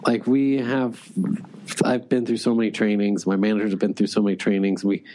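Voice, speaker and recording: A man's voice: lowish voice, flat delivery, a little fast, mellow, low-quality recording. A man in a casual interview, speaking loosely, with lots of "like" and restarts. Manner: loose, filler-heavy, frequent "like". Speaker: sales executive guest.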